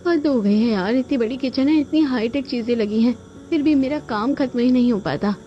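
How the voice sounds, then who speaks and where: A woman's voice: on the high side, brisk, highs cut off, a little hiss or hum, close to an everyday voice. A woman voicing a resentful young daughter-in-law in a cartoon family drama, muttering complaints about being worn out. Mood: weary griping. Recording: band-limited audio with music behind.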